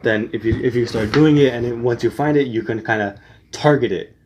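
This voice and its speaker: A man's voice: medium pitch, brisk, a little mellow, a little hiss or hum. A young man giving casual singing tips, rambling with many restarts and fillers. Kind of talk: casual spoken tutorial.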